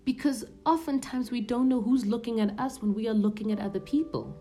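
Hushed, mellow-voiced woman in her thirties. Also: on the high side, lively intonation, a little fast, soft background music. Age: 30s. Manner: earnest, often drops to a hushed near-whisper. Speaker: podcast host. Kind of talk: reflective personal monologue.

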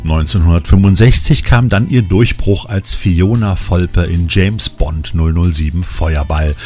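Deep-voiced man presenting over music. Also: measured pace, warm tone. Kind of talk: scripted magazine-show narration. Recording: music bed behind the voice.

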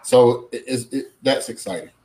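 A man's voice: mid-range voice, normal speed, darkish tone, poor audio. A middle-aged man co-hosting a casual live-stream chat, upbeat and prone to repeating words. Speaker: co-host.

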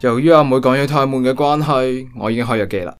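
A man's voice: medium pitch, fast, close to an everyday voice. A man playing a sardonic, take-charge young gamer, the older brother, with a Hong Kong accent in a comic audio drama. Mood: dry, sardonic. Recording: music behind the voice.

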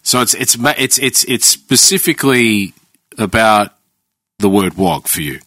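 A man's voice: on the low side, lively intonation, a little slow, fairly bright tone, no echo. Man, late 30s, agreeable, dry close mic. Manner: agreeable, frequent backchannel. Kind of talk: casual podcast chat.

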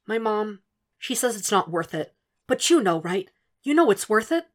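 A woman's voice: big pitch swings, normal speed, natural voice. A woman voicing a distraught young woman who argues on the phone and pleads for reassurance. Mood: desperate. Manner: pleading, high-strung, shouting in anger.

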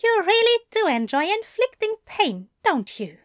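Woman voicing a fussy, high-pitched, protesting man. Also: very animated, a little slow, a bit bright, no echo, clean studio sound, heavily acted. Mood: grumbling. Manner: prim, protesting. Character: fussy, indignant man, sheepish reluctant exercise pupil. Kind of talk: acted audio fiction reading.

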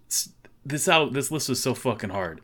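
A man's voice: mid-range voice, animated, normal speed, fairly bright tone. A man co-hosting a music podcast, blunt and opinionated, swearing often. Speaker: podcast co-host. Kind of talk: casual music podcast chat.

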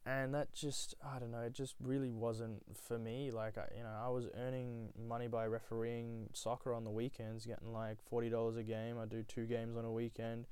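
A man's voice: medium pitch, flat delivery, normal speed, hardly any pauses, a little mellow, smooth, no room sound. A young man from Nepal, telling his own story in a reflective, rambling way with many fillers. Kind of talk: casual personal storytelling.

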